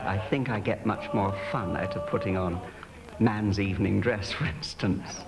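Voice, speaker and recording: Low, creaky-voiced man in an echoing hall. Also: fairly even intonation, normal speed, over loud music. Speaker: clergyman who plays pantomime dame. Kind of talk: interview, reflective talk. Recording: echoing hall, noisy old archive audio.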